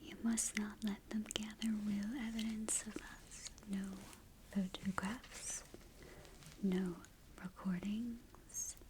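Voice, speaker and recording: Slow whispering ghost guide played by a woman. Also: medium pitch, level tone, background noise, natural voice. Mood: hushed, cautioning. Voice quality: breathy whisper. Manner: slow, hushed, deliberate instructions. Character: ghost guide briefing a newcomer to the afterlife. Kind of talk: soft-spoken ASMR roleplay.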